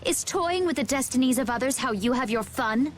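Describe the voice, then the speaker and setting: A poised, high-voiced warrior. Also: female voice, animated, a little fast, a little hiss or hum. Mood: reproving. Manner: poised, reproving. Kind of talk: video game cutscene acting.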